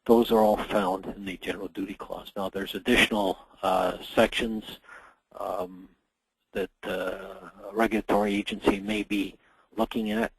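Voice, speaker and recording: A middle-aged man, hoarse from a cold, presenting a workplace safety webinar in a measured way with frequent pauses. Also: low voice, little pitch movement, no echo, rough recording. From Canada. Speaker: association general manager presenting a webinar.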